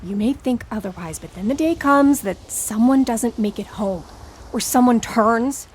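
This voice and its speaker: An actress playing a hard-bitten, world-weary secret agent in a comedic spy audio drama, deadpan and terse. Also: female voice, on the high side, measured pace, a little hiss or hum, lightly acted. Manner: deadpan, terse, matter-of-fact.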